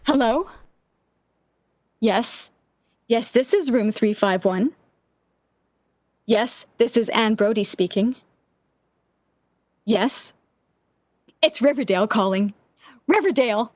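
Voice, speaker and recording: A woman playing a distraught, obsessive lovesick woman in a 1940s-style radio drama, with an intense, halting, theatrical delivery. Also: on the high side, expressive, no room sound. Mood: breathless anticipation.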